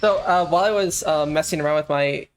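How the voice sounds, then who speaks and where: A man casually commentating as he streams a game, in a halting, hesitant way. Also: on the high side. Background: game music.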